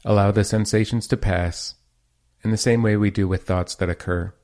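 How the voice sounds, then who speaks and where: A man leading a guided meditation as host, giving gentle, measured instructions with pauses between phrases. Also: on the low side, steady tone.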